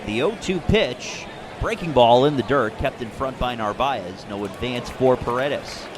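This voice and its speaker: A man calling baseball play-by-play in a descriptive, measured radio broadcast style. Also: mid-range voice, expressive, noisy background. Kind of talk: live radio sports commentary with sponsor reads.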